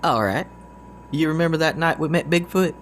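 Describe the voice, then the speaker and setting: An actor with a Southern US accent playing a goofy, good-natured country man in an audio drama, talking in a folksy, drawn-out way. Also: fairly high pitch, slight background noise, close to an everyday voice. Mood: intrigued. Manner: folksy, drawn-out, excitable storytelling. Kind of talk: scripted audio drama dialogue.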